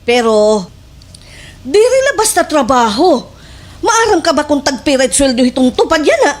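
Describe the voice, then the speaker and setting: A woman's voice: high-pitched, moderate pace. A woman with a Waray accent playing a gossipy village sidekick who eggs on her friend, with exaggerated, emphatic delivery in a slapstick radio drama. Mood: explaining. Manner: animated, exaggerated, emphatic storytelling.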